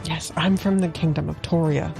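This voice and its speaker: A woman's voice: low voice, steady tone, moderate pace, creaky, music in the background. A woman playing a polite, hesitant scholar and musician turned adventurer in a tabletop game, courteous and easily amazed.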